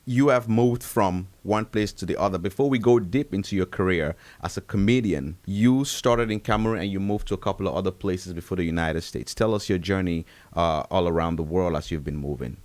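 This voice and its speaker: A man's voice: low voice, brisk, few pauses. Male podcast host introducing a guest. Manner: warm introductions, frequent laughs and agreement. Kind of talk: casual interview hosting.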